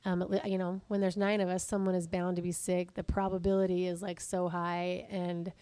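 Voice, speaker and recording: Husky-voiced woman hosting. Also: on the low side, little pitch movement, brisk, mellow, no echo. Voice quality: husky, raspy. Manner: chatty, self-deprecating, laughs often. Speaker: podcast host. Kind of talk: casual chat.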